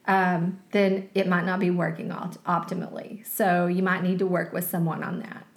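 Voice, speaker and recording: A woman in her forties co-hosting a casual health podcast, chatty and quick to laugh. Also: on the low side, little pitch movement, gravelly. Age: 40s. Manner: chatty, laughs easily, talks through advice. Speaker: podcast co-host. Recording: echoey room.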